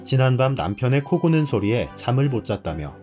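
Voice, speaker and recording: Lively male narrator over guitar music. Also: mid-range voice, brisk. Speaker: host. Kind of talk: scripted narration.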